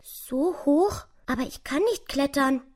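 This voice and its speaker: A woman voicing a small, timid, lost little mouse in a children's story, with a soft, breathy, plaintive voice. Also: sits high, unhurried, voice pushed up. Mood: anxious pleading.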